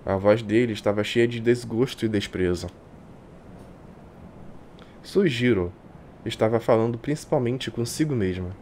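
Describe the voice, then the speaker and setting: A man with a Brazilian accent reading a visual novel aloud like a streamer, expressive and colloquial. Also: medium pitch, unhurried, pauses often, a little hiss or hum. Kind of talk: dramatic live reading with casual asides. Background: game music.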